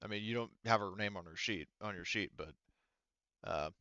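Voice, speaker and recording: A man's voice: on the low side, moderate pace, sharp tone, no echo, highs cut off. A man casually running a tabletop sci-fi game as game master, talking informally and swearing freely. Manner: informal, profane.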